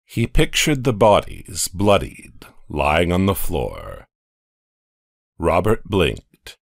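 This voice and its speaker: A man narrating a horror story in a slow, dramatic way. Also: low-pitched, wildly swooping pitch, gravelly, no room sound, studio quality.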